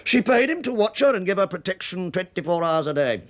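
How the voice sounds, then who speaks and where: A man's voice: high voice, big pitch swings, fairly quick. An actor playing a sly, shabby British investigator in a radio play, sardonic and mock-deferential as he probes. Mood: wry, accusing. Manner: sardonic, mock-deferential, probing, with dry understatement. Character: wry, sly, shabby male investigator posing as police inspector. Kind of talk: radio drama acting.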